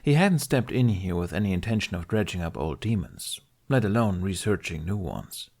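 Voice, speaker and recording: Low-voiced man reading a story. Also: very animated, moderate pace, a little mellow, breathy edge. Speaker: audiobook reader. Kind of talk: scripted narration of fan fiction audiobook.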